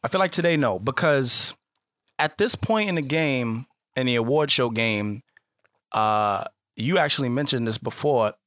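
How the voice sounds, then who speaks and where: A man in his mid-twenties hosts a casual podcast, talking in quick bursts that lift when he gets going. His pitch sits at an ordinary level. The studio mic gives a close, dry sound. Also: expressive, a bit bright, dry recording. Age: 20s. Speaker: podcast host. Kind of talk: casual chat.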